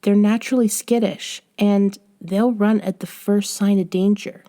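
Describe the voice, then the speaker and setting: A woman performs a young woman coaching her friend in an intimate audio roleplay. Her voice is mellow and soft, and she takes her time, measured and patient with a bossy, gently chiding edge. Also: mid-range voice, steady tone, close to an everyday voice. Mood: patient, teasing. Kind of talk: scripted roleplay audio, one-sided conversation.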